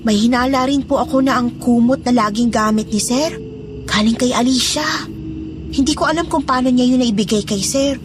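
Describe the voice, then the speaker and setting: A woman's voice: on the high side, moderate pace, over music, close to an everyday voice. A woman playing a fearful, loyal housemaid in a Tagalog horror audio drama, confiding and deferential as she tells a suspenseful story. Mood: earnest, foreboding. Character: fearful, loyal housemaid revealing dark secrets.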